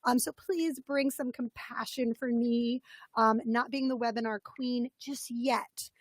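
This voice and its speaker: A woman's voice: on the high side, steady tone, unhurried, dry recording. A woman coach with a slightly scratchy voice, leading an interactive webinar in an upbeat, chatty way and greeting participants by name. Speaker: business coach presenting a webinar.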